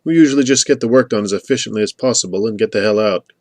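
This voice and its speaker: A man voicing a loyal, matter-of-fact watchdog in an audiobook, brisk, polite and diplomatic. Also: lowish voice, dry recording, clean studio sound.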